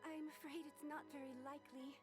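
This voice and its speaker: A woman plays a young secretary from a video game who sounds polite and proper and quick to correct others. Her voice sits high and clear, with game music playing plainly underneath. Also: level tone, natural voice. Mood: earnest. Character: young female private secretary, formal and earnest.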